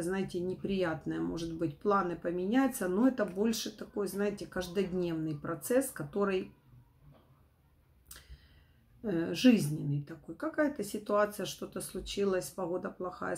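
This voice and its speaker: A middle-aged woman with a not fully recovered voice, giving an informal tarot forecast to camera in a halting, rephrasing way. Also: on the low side, a little mellow. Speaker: tarot reader, host. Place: small room at home, indoors.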